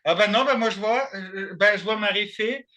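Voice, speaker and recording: Un homme au timbre éclatant et aigu intervient en quelques mots, de façon détendue. Il parle au téléphone pendant une réunion en ligne. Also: male voice, high-pitched, normal speed, sharp tone. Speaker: group participant. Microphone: phone.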